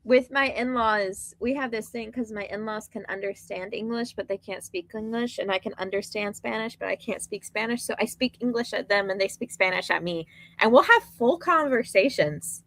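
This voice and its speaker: A woman's voice: medium pitch, moderate pace, a bit bright, no echo. A woman chatting casually, with joking banter and personal anecdotes. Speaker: livestream guest.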